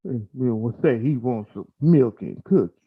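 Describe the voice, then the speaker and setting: A man's voice: medium pitch, lively intonation, moderate pace. A man co-hosting a casual chat show in an informal, joking manner. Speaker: talk show co-host. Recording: dull, lo-fi sound.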